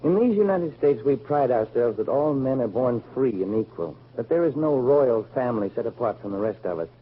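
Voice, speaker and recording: A man's voice: mid-range voice, lively intonation, brisk, breathy edge. A man playing an idealistic grown son in a 1940s radio drama, reciting formally and earnestly. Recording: narrow, tinny old radio recording.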